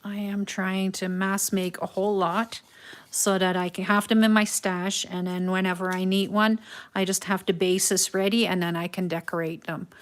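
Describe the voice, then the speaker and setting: A middle-aged woman from the Netherlands with a Dutch accent, hosting a live craft tutorial and chatting with viewers in an unhurried, musing way with frequent ums. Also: mid-range voice, little pitch movement. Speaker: craft livestream host.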